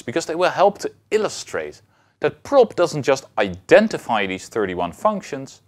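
Male lecturer, thirties, highly animated melody, deliberate emphatic phrasing, explanatory delivery. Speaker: university philosophy lecturer.

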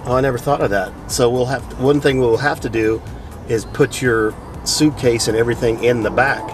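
A man's voice: medium pitch, steady tone, brisk, faint music behind. A middle-aged man riding along in a car, bantering playfully and laughing through his jokes. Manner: joking, playful, laughs mid-sentence. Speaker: friend on road trip. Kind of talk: casual banter. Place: passenger seat of a car.

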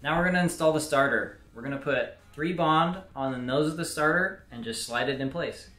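A man's voice: on the high side, fairly quick, bright, forceful tone, roomy sound. A young man in his twenties, a host walking viewers through a repair step by step, talking to the camera in a home garage. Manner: plain step-by-step instructing. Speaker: mechanic host. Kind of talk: how-to repair walkthrough. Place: home garage workshop, indoors.

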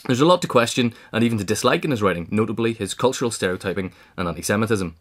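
A man's voice: lowish voice, lively intonation, very fast. A man narrating a scripted political history essay in a formal, articulate read-aloud manner. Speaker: audiobook reader.

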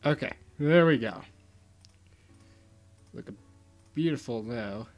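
Slow-talking young man rambling over gameplay. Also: mid-range voice, expressive, faint music behind. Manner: rambling, mock-boastful, self-mocking. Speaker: YouTuber. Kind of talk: casual gameplay commentary.

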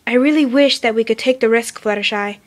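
A woman's voice: a little high, a little fast, close to an everyday voice. A woman voicing a stern, rational female unicorn pony, firm and insistent, in a story reading.

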